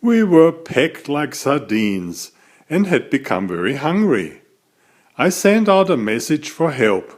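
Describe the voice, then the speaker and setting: A man reading a children's story aloud as its author, in an expressive, deliberate storytelling manner. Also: medium pitch.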